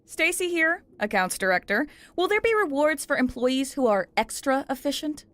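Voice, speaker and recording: Perky accounts director, audio comedy, high voice, eager. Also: female voice, animated, moderate pace, fairly bright tone.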